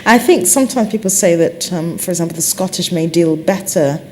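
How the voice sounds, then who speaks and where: An English woman chatting thoughtfully about language, pausing often with fillers and restarts. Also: fairly low pitch, a little mellow, slight background noise. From England. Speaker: conversation partner discussing languages. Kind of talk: casual chat.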